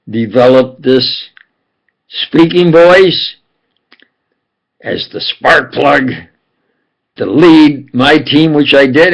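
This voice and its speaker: An older man from upstate New York with a New York accent, telling his life story in a slow, halting monologue with sudden emphatic stresses. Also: medium pitch, gravelly, fairly bright tone, rough recording. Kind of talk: rambling personal monologue.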